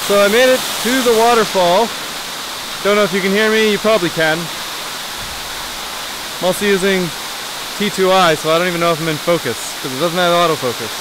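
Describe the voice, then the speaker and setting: Young man vlogging by a loud waterfall. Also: high-pitched, noisy background. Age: young adult. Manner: relaxed, musing to camera while walking. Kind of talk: casual hiking vlog. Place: outdoors in a wooded ravine by a waterfall. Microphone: handheld camera held close. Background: rushing water.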